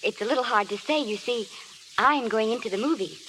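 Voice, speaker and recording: A woman's voice: fairly high pitch, fairly quick, a bit bright, close to an everyday voice. An actress playing a hopeful, sincere small-town young woman, shy but stubborn, in a 1930s radio drama. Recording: faintly noisy vintage radio broadcast.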